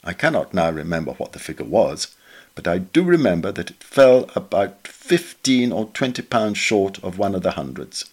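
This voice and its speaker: A man reading a written memoir aloud as a podcast narrator, in a measured, articulate way with expressive emphasis. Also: low voice, husky. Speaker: reader of a magazine article for a podcast.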